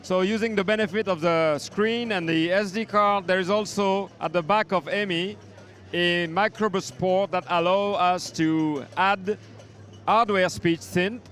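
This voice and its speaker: A man giving an informal product demonstration as a synth maker, explaining in a halting way. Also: high voice, fairly even intonation, fairly bright tone. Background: electronic synth sounds and beats.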